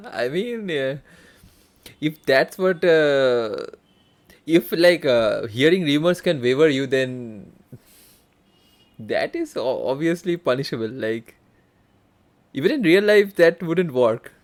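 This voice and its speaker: A game streamer giving casual, halting live commentary on a playthrough. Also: medium pitch, animated. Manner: halting, murmured reactions. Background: faint game music.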